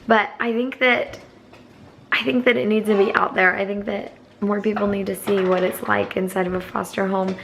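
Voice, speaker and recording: A hoarse young woman chatting to camera as a foster parent, rambling with many fillers. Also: medium pitch, level tone, normal speed, a bit bright, slight background noise, poor audio. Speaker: vlogger. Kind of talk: casual chat to camera.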